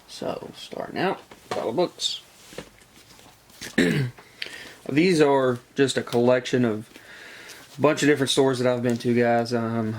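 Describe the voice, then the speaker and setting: Man, mid-pitched, heavy vocal fry, stuffy from a cold, casual vlog show-and-tell, halting delivery. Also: slight background noise. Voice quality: gravelly, congested. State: congested from a cold. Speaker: comic book collector presenting a haul.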